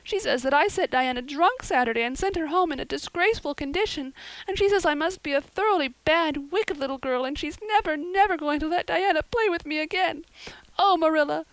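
Woman reader doing a young orphan girl, melodramatic, wailing, high voice, dry sound. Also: animated, moderate pace, no room sound, low-quality recording. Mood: pleading, woeful. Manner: high-flown, breathless and wailing. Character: dramatic, tearful orphan girl, about eleven. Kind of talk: audiobook character voice.

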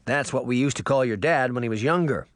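A man's voice: mid-range voice, quick pace, no echo, clean studio sound, close to an everyday voice. A man voicing a breezy, teasing uncle in a storybook, playful and familiar. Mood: teasing, friendly. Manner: playful, ribbing, casual. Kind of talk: audiobook character voice.

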